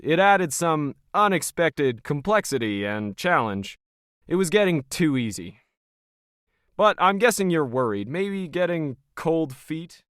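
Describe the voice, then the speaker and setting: A man's voice: on the high side, fairly bright tone, clean tone, no room sound, clean studio sound, voice pushed up. A man voicing a cold, arrogant rich villain in an audio story, pompous, sly and goading. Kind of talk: audio fiction character dialogue.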